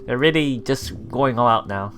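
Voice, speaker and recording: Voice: medium pitch, normal speed, a bit bright. A let's play host giving casual, hesitant commentary on a visual novel, reading and translating the dialogue aloud. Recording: music playing behind the voice. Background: game background music with guitar.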